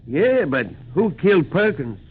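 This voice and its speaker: An actor in a 1940s radio drama playing a plain-spoken, kindly mountain homesteader with a folksy backwoods drawl. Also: male voice, a little high, sing-song, unhurried, husky, full character voice. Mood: puzzled. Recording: tinny, noisy 1940s radio recording.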